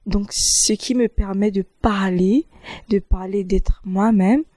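A young woman university student answering interview questions in a hesitant, halting way, often restarting her sentences. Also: mid-range voice, steady tone, mellow, no room sound, clean studio sound. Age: young adult.

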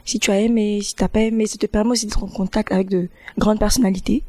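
A young woman university student answering interview questions in a hesitant, halting way, often restarting her sentences. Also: mid-range voice, steady tone, a little mellow, no echo. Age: young adult.

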